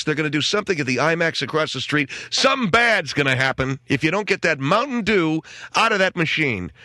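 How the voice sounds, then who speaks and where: A man's voice: on the high side, pushed and bright, no room sound. An American man hosting casual, rambling talk radio with a wry, grumbling, sardonic manner. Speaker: radio talk show host. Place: radio studio. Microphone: close studio microphone.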